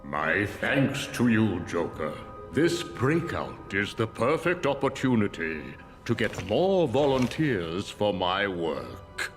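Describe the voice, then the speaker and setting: A man playing a sinister, coolly polite mad scientist in a video game, measured and menacingly courteous, in long reverb. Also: on the low side, big pitch swings, soft background music. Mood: sinister courtesy. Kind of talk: video game villain acting.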